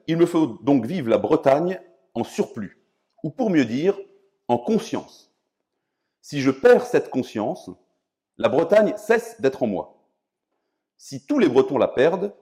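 A man from France lecturing on philosophy in a didactic, emphatic way, often pausing to search for words. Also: mid-range voice, mellow. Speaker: priest and philosophy professor giving a lecture. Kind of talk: public philosophy lecture, semi-improvised.

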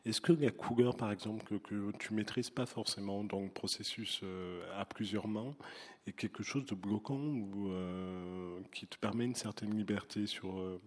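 A male interviewer with a somewhat low voice asks long, searching questions, pausing on fillers as he goes. His voice carries a lingering reverb from the room. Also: fairly even intonation.

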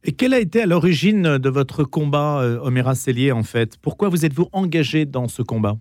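A man interviewing a guest, asking measured, precise questions. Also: fairly high pitch, expressive, darkish tone, dry recording, clean studio sound.

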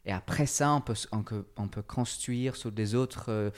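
A man's voice: fairly low pitch, normal speed. A man with an English accent, a fitness coach interviewed in French, speaking hesitantly with many fillers and restarts. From England. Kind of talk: casual interview, halting French.

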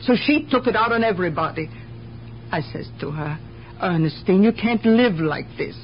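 A woman's voice: medium pitch, animated, moderate pace, natural voice. A guarded, plain-spoken immigrant woman as a radio actress plays her, weary of the world and speaking in broken grammar. The old mystery broadcast is dull, with a faint bed of noise. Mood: confiding, resigned. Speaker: actor in a radio drama. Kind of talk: 1970s radio mystery acting. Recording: dull, noisy 1970s radio broadcast recording.

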